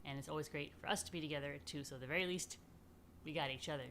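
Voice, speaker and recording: A woman with a slightly low voice speaks as a rabbi hosting story time for children. She is chatty and playful, addressing young listeners directly and informally. There is some faint noise in the recording. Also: very fast, a bit bright.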